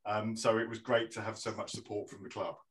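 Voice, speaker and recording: A man speaking as a guest in a podcast discussion, recounting things in a relaxed way with some fillers. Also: on the low side, fairly quick.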